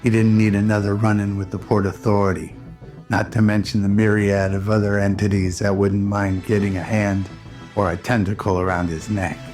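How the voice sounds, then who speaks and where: Low, even-toned male drama narrator. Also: moderate pace. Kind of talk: scripted radio drama narration, pulp noir storytelling. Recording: music under the voice.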